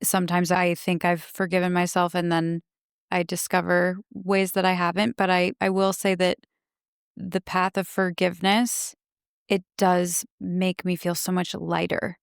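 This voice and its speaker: A woman hosting a podcast, speaking in a gentle, admiring, effusive manner. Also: lowish voice, completely flat delivery, measured pace, vocal fry, smooth, no echo, studio quality. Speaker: podcast host. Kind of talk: warm interview chat.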